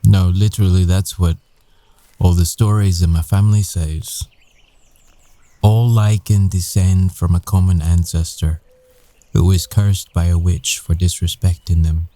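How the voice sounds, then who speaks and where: A man playing a tender, weary werewolf ex-boyfriend in an intimate ASMR roleplay, with hushed, halting delivery. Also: low-pitched, steady tone. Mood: wry, explaining.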